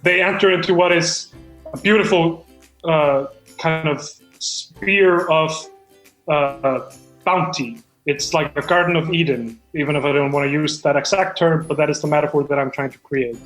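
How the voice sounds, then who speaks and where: A man in a comedic table read, halting and self-important, defensively presenting his screenplay and reading stage directions. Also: a little high, steady tone, a bit bright. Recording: roomy echo, music behind.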